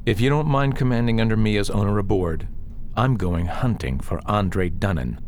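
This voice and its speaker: A man's voice: on the low side, moderate pace, a little mellow, slight background noise, natural voice. An actor playing a grieving young nobleman who is wounded and grimly set on vengeance in a science fiction audio drama. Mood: resolute.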